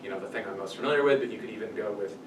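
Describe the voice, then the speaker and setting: A man's voice: fairly low pitch, racing. A man in his thirties giving an informal introductory lecture in a conversational, explanatory way, recorded by a distant camera microphone in a small meeting room. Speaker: workshop presenter. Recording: echoey room from afar, noisy.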